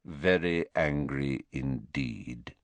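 A man voicing a guarded male teacher and spy who speaks flatly and quietly. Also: extremely low pitch, no echo, voice pushed down, exaggerated voice. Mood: cold. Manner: flat, quiet, measured.

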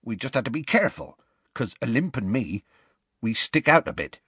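A man voicing a huge, gruff half-giant groundskeeper who talks in a rustic dialect. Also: medium pitch, sing-song, normal speed, a bit bright, dry recording, pitched above the performer's own voice. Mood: storytelling.

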